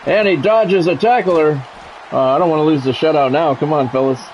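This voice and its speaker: A man's voice: fairly high pitch, fairly quick, a little mellow, muffled recording, a little hiss or hum. A middle-aged man giving live play-by-play of a football video game, mixed with offhand, conversational chat and casual swearing.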